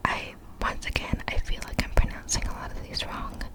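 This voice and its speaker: A woman reading facts in a breathy ASMR whisper, halting and apologetic when she stumbles over words. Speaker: ASMR video creator.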